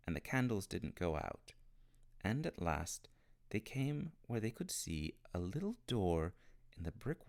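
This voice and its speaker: Man, low gravelly voice, very lively intonation, children's audiobook narration, dry close sound. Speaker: storybook reader. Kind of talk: expressive narration of a children's novel.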